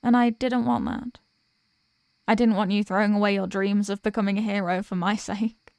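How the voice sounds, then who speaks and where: A woman acts out a fallen heroine turned villain, full of remorse, in an audio roleplay. Her voice is mid-pitched and recorded very dry. She speaks earnestly and haltingly, pausing between phrases as she confesses to someone she loved. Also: fairly even intonation, vocal fry, darkish tone, no echo, natural voice. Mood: wistful. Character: remorseful female villain, former hero, confessing to lost love.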